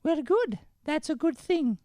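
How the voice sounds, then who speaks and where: High-voiced, coy Irish girl, played by a woman. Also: sing-song, a little slow, warm tone, no echo, clean studio sound. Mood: coy, confident. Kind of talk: improvised old-time radio comedy play.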